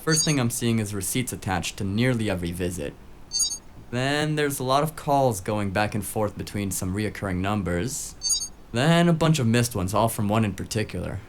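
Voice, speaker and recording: An actor playing a cheeky, eager, tech-savvy sidekick in a noir audio drama, with breezy, casual banter. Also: male voice, lowish voice, animated, moderate pace, noisy background, close to an everyday voice. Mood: casual, informative.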